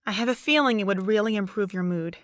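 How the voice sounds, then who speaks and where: A woman's voice: mid-range voice, fast, no echo, clean studio sound, lightly acted. A young woman playing a chirpy, caring and nosy college student in an amateur audio drama. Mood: firm, encouraging.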